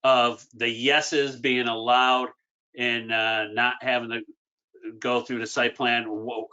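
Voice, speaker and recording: A man on a town planning board raising a concern, halting but emphatic. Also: mid-range voice, level tone, sharp tone, clean tone. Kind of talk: meeting discussion. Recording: dull lo-fi local TV audio.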